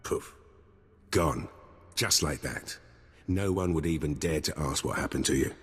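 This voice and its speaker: Cocky deep-voiced intelligence captain. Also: male voice, unhurried, a bit bright, breathy edge, in a large hall, full character voice. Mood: smug menace. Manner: breezy, sardonic, theatrical.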